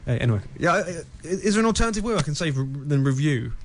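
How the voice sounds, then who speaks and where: A man's voice: a little high, big pitch swings, very fast. A man recording an informal radio segment. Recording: music bed behind radio clip. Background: music.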